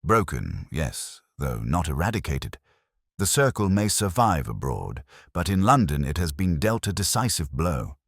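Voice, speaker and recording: A man voicing a grave, incisive detective in a mystery audiobook, speaking in measured, solemn, oratorical phrases. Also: a bass voice, very animated, slightly rough, dry recording, clean studio sound, full character voice. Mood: measured, assured. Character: grave, incisive male detective explaining a case.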